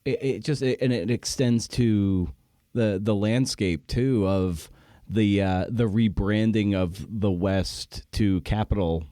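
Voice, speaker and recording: Deep, mellow man hosting a podcast. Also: slow pace, no room sound, clean studio sound. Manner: informal, rambling phrasing. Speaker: podcast host. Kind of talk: casual interview chat.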